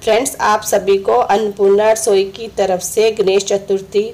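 Slightly hoarse woman explaining a recipe. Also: medium pitch, flat delivery, moderate pace, a little mellow, rough recording. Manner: instructive, friendly, repeats steps. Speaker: cooking channel host. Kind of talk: home cooking narration. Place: home kitchen.

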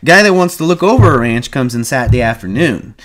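An excitable, chatty ranch hand in an audiobook, as a man acts him. His talk is brash and folksy. The voice is lifted well above the narration and comes out loud. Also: mid-range voice, fairly quick, voice pushed up, lightly acted. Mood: knowing, teasing.

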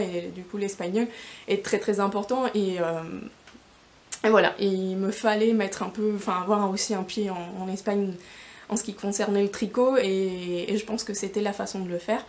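A woman's voice: medium pitch, darkish tone, a little hiss or hum. Young Spanish-born woman, non-native speaker, casual podcast host, rambling with fillers, camera mic in a shop. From Spain. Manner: rambling, many fillers and restarts, gesturing with hands. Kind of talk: casual video podcast chat. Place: yarn shop in front of shelves.

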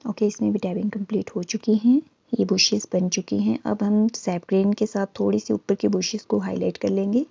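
Gravelly, mellow-voiced woman teaching painting. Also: mid-range voice, muffled recording. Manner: calm and instructive, with frequent pauses and fillers. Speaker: art teacher. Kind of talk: step-by-step painting tutorial voice-over. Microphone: voice-over, camera on hands and canvas.

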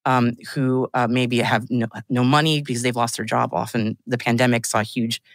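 A woman's voice: rumbling low, little pitch movement, normal speed, no echo. A woman guest on a podcast, chatting casually, laughing often and restarting her sentences. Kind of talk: casual chat.

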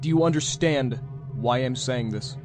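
Man voicing a deadpan protective skeleton brother. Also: mid-range voice, unhurried, music in the background, lightly acted. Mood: menacing. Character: protective skeleton big brother, droll and menacing. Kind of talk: fan comic dub.

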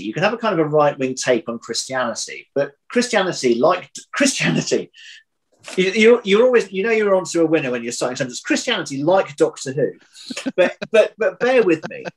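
A man's voice: fairly high pitch, rough recording. A man in his thirties chatting casually as an interview guest on a video call, hesitant, often restarting and repeating words as he thinks aloud. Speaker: interview guest, audio drama writer. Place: home room, indoors. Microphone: computer video call.

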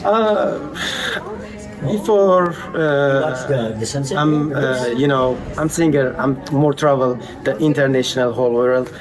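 Slow Kurdish-accented man, halting English. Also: medium pitch, a crowd in the background, rough recording. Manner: halting broken English, many fillers. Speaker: pop singer guest. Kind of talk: red-carpet interview. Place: crowded event hall, in front of a banner.

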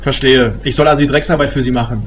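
A man playing a dry, reserved, sceptical psychologist in an amateur comedy audio drama, speaking tersely and deadpan. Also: mid-range voice, fast talker, lightly acted. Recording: faint background noise.

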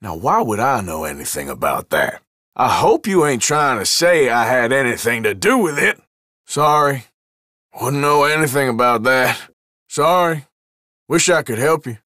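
An actor playing an irritable, wary Old West outlaw in a video game, with a rural American drawl and a folksy, sardonic, defensive manner. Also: male voice, mid-range voice, very animated, normal speed, sharp tone, dry recording, studio quality. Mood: guarded, evasive. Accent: American, rural Western drawl. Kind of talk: game voice lines, short barks.

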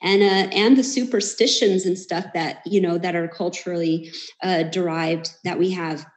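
A woman's voice: fairly low pitch, steady tone, normal speed. An American woman hosting a casual online workshop discussion, chatty and encouraging, over a roomy video call. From United States. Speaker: workshop host.

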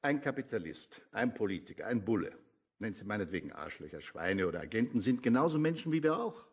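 An actor playing an earnest, conscientious intellectual man in a radio play, husky and argumentative. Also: lowish voice, big pitch swings, brisk, exaggerated voice. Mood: agitated, arguing. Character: Jakob Ammon, earnest, conscientious left-wing intellectual man.

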